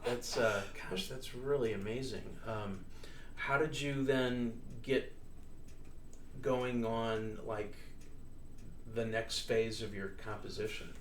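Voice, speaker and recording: A man's voice: medium pitch, steady tone, takes it slowly. Male interviewer, distant and echoey. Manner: encouraging, short backchannel replies. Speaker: podcast host and interviewer. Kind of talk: casual interview. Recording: echoey room, distant mic, faint noise.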